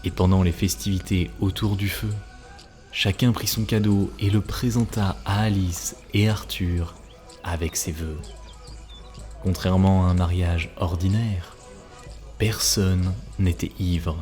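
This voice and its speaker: A man narrating a cartoon story from a script in a solemn, measured way. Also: low voice, steady tone, a little mellow. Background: music.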